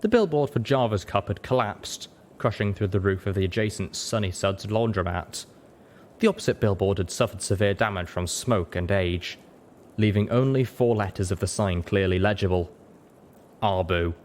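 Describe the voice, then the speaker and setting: A man's voice: moderate pace, darkish tone. A man reading a story aloud as a dramatic audiobook narrator. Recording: rumbling background noise.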